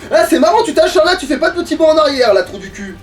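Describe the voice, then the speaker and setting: Casting: male let's player, high-pitched, exasperated and foul-mouthed, casual running commentary, recorded off-mic. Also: very animated, quick pace, soft background music. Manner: sweary, exasperated, rambling to himself. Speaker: let's play gamer. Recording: distant mic with light background noise.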